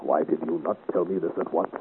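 A man's voice: sits low, level tone, quick pace, natural voice. A man acting a gallant, indignant young French soldier in a formal, declamatory 1950s radio serial style. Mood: indignant, bold. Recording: narrow-band, hissy 1950s radio recording.

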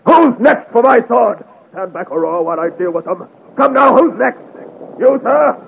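A man's voice: very high-pitched, lively intonation, normal speed, gravelly, narrow, tinny audio, full character voice. An actor playing an ardent, gallant young French swordsman in a theatrical 1950s radio adventure serial, with courtly, declamatory delivery. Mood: fierce, defiant. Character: gallant, ardent young swashbuckling hero of 17th-century France. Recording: thin, noisy old 1950s radio recording.

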